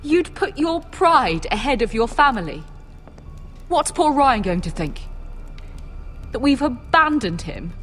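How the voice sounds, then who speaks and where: A woman's voice: sits high, big pitch swings, measured pace, pauses often, a bit bright, faint music behind, heavily acted. An actress playing a middle-aged noble mother, grieving and pleading, in a fantasy video game drama. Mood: reproachful.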